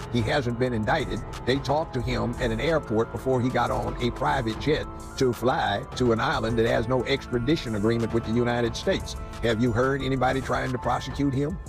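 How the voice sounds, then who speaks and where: A man's voice: medium pitch, creaky. A man giving opinionated conversational commentary as a legal expert, posing questions and answering them himself. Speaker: legal commentator with criminal defense background.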